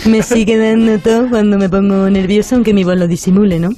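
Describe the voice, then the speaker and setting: A woman's voice: medium pitch, fairly even intonation, a little fast, warm tone, close to an everyday voice. A woman playing a flustered, devout village wife in a comic radio sketch, rambling and repeating words with nervous verbal tics. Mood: rambling, agitated. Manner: rambling, repeats words, recites lists when agitated.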